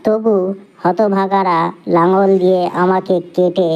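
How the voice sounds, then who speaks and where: Lady doing a talking tree spirit in a kids cartoon, mid pitch, grumbly and indignant. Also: fairly even intonation, slow pace, highs cut off, a little hiss or hum, natural voice. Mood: bitter, indignant. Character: aggrieved talking nature spirit, bitterly complaining witness. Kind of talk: cartoon fable dialogue.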